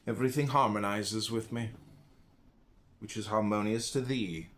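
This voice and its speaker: Low-voiced American man reading solemnly. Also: steady tone, normal speed. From United States. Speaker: audiobook reader. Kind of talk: audiobook reading of classical philosophy.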